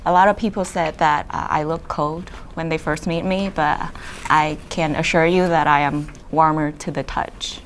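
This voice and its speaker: A young woman from Vietnam co-hosting a podcast in a relaxed, casual way, with fillers and small laughs. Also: sits low, normal speed, a little hiss or hum. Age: young adult. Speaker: podcast co-host. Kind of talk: casual chat.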